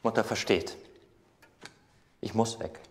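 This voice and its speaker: Low-voiced, restless young emperor. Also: male voice, slow pace. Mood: urgent, defiant. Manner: terse, insistent. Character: restless defiant young emperor arguing with his mother. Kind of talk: historical docudrama dialogue.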